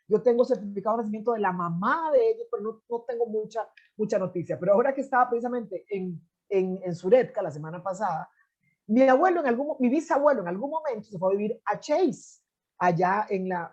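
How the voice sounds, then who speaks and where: A middle-aged woman with a Costa Rican accent speaks in a warm, mellow voice, thoughtful and unhurried. She often restarts and fills pauses with "este" as she recounts things. The recording sounds a little dull and lo-fi. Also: medium pitch. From Costa Rica. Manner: reflective, frequent restarts and filler "este". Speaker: interview guest, anthropologist and poet. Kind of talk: conversational storytelling.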